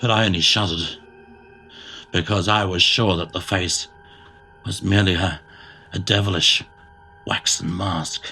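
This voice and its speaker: A man giving a theatrical, dramatic narration of a gothic horror tale, phrasing it deliberately, with music behind him. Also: low-pitched, steady tone, bright, forceful tone.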